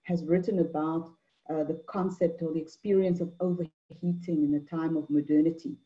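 A middle-aged woman from Mauritius gives an online talk on a video call, measured, with many fillers and repeated words. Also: deep voice, steady tone, mellow. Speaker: anthropologist and poet speaker. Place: indoor room at home. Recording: dull lo-fi video call audio.

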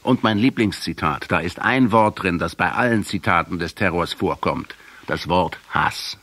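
An actor playing an accusing male intellectual in a radio drama, speaking in a deliberate, articulate way. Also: low voice, a bit bright, dry recording. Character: accusing male intellectual, professor quoting history at the commander.

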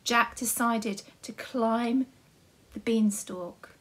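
Slow, high-voiced woman telling a nursery story. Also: fairly even intonation, slightly rough. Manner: simple, deliberate phrasing for small children. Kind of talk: scripted children's story narration.

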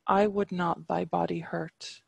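A reader giving voice to a defiant young warrior hero from old legend, declaiming archaic verse with a deeply gravelly, creaky edge. The delivery is slow and measured, and the sound is close and dry with no room around it. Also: level tone, no echo, full character voice. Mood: pleading. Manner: archaic verse read aloud, chant-like.

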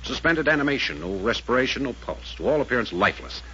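Tough, fast-talking radio adventurer, bright and forceful. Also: male voice, medium pitch, big pitch swings. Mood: grimly determined. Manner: clipped, commanding. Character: tough, decisive male adventurer leading his partners. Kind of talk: 1940s radio adventure serial acting. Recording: dull old-time radio recording, faint hiss.

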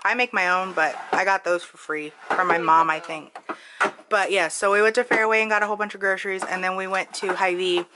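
A woman's voice: medium pitch, fairly even intonation, brisk, background noise. Chatty, laid-back woman vlogger. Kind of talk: casual chat. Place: home pantry.

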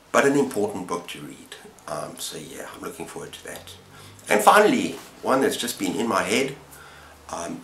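Low-voiced middle-aged man, roomy sound. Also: a bit bright, a little hiss or hum. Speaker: book vlogger, channel host. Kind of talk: casual chat to camera. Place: book-lined room at home, indoors. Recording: roomy, echoing indoor sound.

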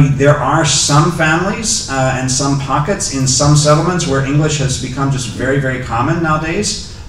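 A man's voice: medium pitch, slight background noise. A middle-aged man speaking at a podium into a handheld mic, answering audience questions hesitantly, with many ums and restarts. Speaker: guest lecturer. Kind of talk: lecture Q&A answers. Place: lecture hall with a podium. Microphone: handheld microphone. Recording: echoey hall audio from far off.

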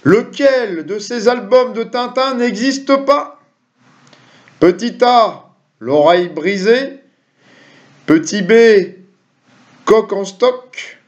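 A man's voice: high-pitched, slow pace, pauses often. A middle-aged man, hosting a quiz to camera with jokey, chatty asides to viewers. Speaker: quiz host. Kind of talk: reading quiz questions to camera. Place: small room at home. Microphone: webcam or computer mic at desk. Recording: dull lo-fi webcam sound.

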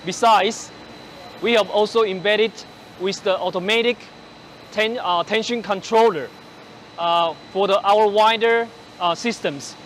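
A man in his forties presenting machinery on a large factory floor, speaking haltingly with many fillers and restarts, using a lapel mic. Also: high-pitched, fairly bright tone, a little hiss or hum. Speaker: company presenter on a factory tour. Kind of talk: product walkthrough.

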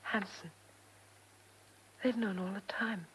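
Headstrong young woman in love, radio play, emotional, slow talking, faint noise behind. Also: medium pitch, a bit bright, rough recording. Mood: tender. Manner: urgent, emotional. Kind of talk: radio drama acting.

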